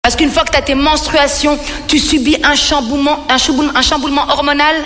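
A woman from Belgium tells stories on stage as a stand-up comedian, in a high, bright voice. Her delivery is animated and addressed straight to the audience. The voice rings out in a reverberant hall. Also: brisk. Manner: animated storytelling with direct questions to the audience. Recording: hall reverb with music behind.

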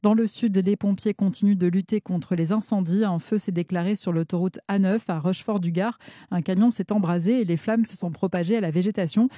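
A woman's voice: mid-range voice, fairly even intonation, fairly quick, dark tone, no echo, clean studio sound. A woman reading a scripted radio news bulletin as a newsreader.

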